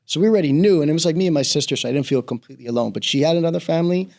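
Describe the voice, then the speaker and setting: Middle-aged bahamian guy, gravelly voice, easygoing rambly interview talk. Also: fairly high pitch, very fast. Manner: relaxed, rambling storyteller, laughs easily. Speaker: real estate broker, guest. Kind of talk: casual interview conversation.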